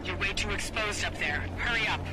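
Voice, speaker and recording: A woman's voice: brisk, close to an everyday voice, poor audio. A woman playing a tough, focused female covert operative in a video game, giving terse, purposeful directions. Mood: watchful, urgent. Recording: loud music and vehicle rumble behind. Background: loud game music, engines.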